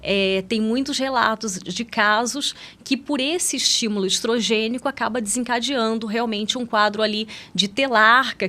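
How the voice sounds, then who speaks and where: Podcast guest expert: Brazilian woman, higher-pitched voice, explains medical matters in an informal, tag-question-peppered way. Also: a bit bright. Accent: Brazilian Portuguese. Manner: explanatory, often checking with "né". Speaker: guest expert, pediatric endocrinologist.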